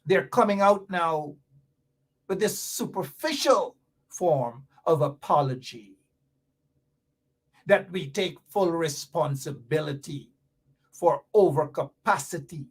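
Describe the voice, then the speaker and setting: A man's voice: high-pitched, husky. A Guyanese man hosting a radio talk show, delivering fiery, sarcastic political commentary with mocking repetition.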